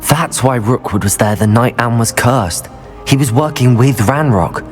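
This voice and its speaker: An actor playing a kind, steady teenage student wizard in a video game, speaking gently and sincerely. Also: male voice, mid-range voice, normal speed, natural voice. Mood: grave. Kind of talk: dramatic video game acting. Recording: music behind the voice.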